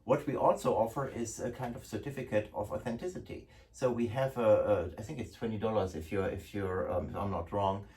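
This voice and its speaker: A man's voice: lowish voice, brisk, hardly any pauses, darkish tone. German-accented middle-aged man, distant mic. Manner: chatty, many restarts and fillers. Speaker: host, gun importer. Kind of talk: casual product talk to camera. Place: indoor office room. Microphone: far camera. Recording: distant room sound.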